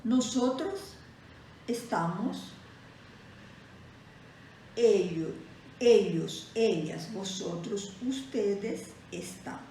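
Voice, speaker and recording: A woman teaching a slow, deliberate grammar lesson, reading out example sentences and setting Portuguese beside Spanish. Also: medium pitch, animated, mellow, recorded from a distance. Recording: very echoey room, voice set back from the mic, faint noise.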